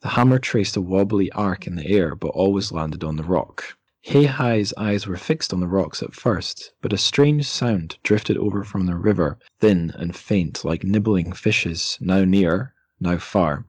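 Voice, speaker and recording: A man's voice: low-pitched, unhurried, few pauses, darkish tone, husky, no room sound. A man hosting a literary podcast, talking casually and reading passages from a book. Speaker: podcast host.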